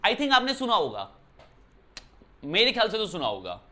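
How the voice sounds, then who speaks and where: A man teaching maths in a bright, clear voice, talking to his students casually. He explains step by step and keeps asking them whether they follow. He is from Uttarakhand and records indoors. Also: high voice, huge pitch swings, normal speed. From Uttarakhand, India. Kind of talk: classroom lesson explanation. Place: indoor room.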